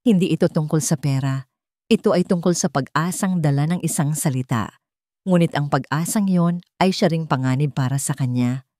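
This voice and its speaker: A woman's voice: low voice, normal speed, dark tone, no echo, studio quality. A woman narrator reading a scripted romantic drama in a storytelling style.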